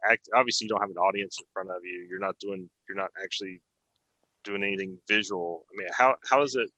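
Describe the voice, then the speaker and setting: A man's voice: lowish voice, bright, forceful tone, no room sound, low-quality recording. A man hosting a casual podcast interview, asking questions in a conversational way. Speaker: podcast host.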